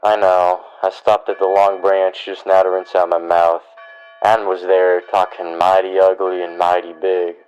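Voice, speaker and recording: Man as a low-voiced, folksy western deputy sidekick. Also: level tone, moderate pace, darkish tone, clean tone, natural voice, rough recording. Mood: concerned, reporting. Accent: American. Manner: deferential, homespun, plain-spoken. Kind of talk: western radio drama acting.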